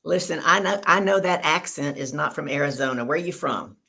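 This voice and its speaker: A woman's voice: low voice, fairly quick, gravelly, sharp tone. A woman hosting an online show, chatty and upbeat while telling stories. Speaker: host. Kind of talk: casual chat and storytelling.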